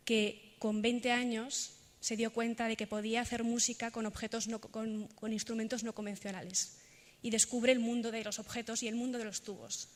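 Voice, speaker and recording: A woman with a Castilian Spanish accent gives a prepared conference talk. Her voice is on the high side and keeps a fairly level melody. It rings through a large hall with long reverb. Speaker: conference speaker. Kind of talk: prepared talk with recited verse and examples.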